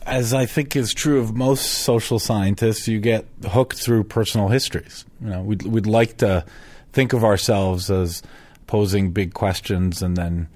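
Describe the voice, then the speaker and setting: Sociologist from Switzerland with a very low voice, explaining at length in a dry, close recording. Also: male voice, fairly even intonation, unhurried, a little mellow, no echo. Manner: thoughtful, explanatory, with fillers. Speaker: sociologist guest. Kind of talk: interview answers.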